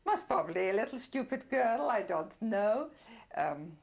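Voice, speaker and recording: An older woman whose first language is German, giving a reflective oral history testimony with many pauses and soft laughs. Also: mid-range voice, big pitch swings, gravelly. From Czernowitz, Bukovina. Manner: reflective, pausing often with fillers, soft laughs. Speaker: interviewee, Holocaust survivor.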